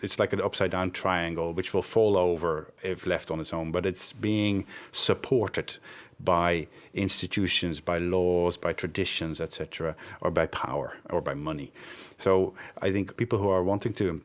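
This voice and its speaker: A man's voice: low voice, fairly even intonation, normal speed. A middle-aged man from the Netherlands, whose first language was Dutch, answering interview questions thoughtfully with frequent hesitations. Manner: thoughtful, hesitant, with fillers and self-corrections. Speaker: interview guest, director of a development network. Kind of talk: reflective conversational interview answers.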